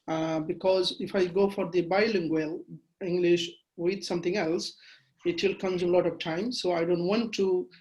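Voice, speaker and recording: Man lecturing, many halting fillers. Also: high-pitched, low-quality recording. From Bangladesh. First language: Bengali. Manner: measured, halting with frequent fillers. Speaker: guest lecturer. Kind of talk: webinar lecture.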